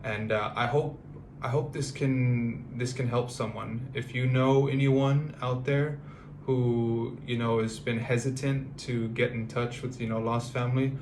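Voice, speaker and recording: Halting, flat-voiced man in his thirties. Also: medium pitch, slight background noise. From United States. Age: 30s. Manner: halting, reflective, many fillers and restarts. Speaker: vlogger. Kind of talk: personal vlog monologue.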